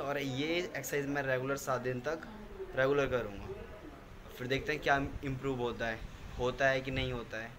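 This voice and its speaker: A young man filming himself outdoors with a phone at arm's length, chatting to viewers and often checking they follow. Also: medium pitch. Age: young adult. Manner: chatty, keeps asking "clear?" and "ठीक है?". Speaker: fitness vlogger. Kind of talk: casual vlog to camera. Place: outdoor wall by plants. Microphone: phone camera at arm's length.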